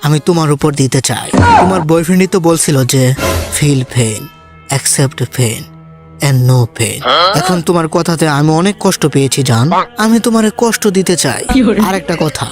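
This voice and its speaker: Guy doing old anime villain, highish voice thru voice changer, boastful n teasing, music playing. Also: lively intonation, moderate pace. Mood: wounded, threatening. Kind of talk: comic amateur anime parody dub.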